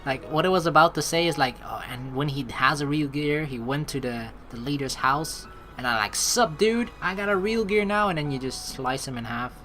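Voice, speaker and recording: A young man hosting a game playthrough, reading dialogue aloud and adding casual, slangy, expressive commentary. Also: fairly high pitch, normal speed, hardly any pauses. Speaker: let's play host. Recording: game music behind the voice.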